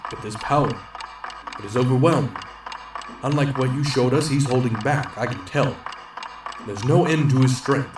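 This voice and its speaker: A brave, defiant superhero with a power ring, played by a man. His lines come out loud and earnest, full of heroic resolve, at a middle pitch. Audio drama. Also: normal speed, pauses often, music in the background, natural voice. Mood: urgent.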